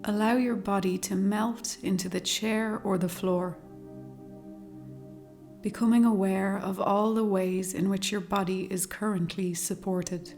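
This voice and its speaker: A woman leading a guided meditation, speaking slowly and calmly in a soothing, measured way with long pauses. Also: mid-range voice. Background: meditation music with singing bowls.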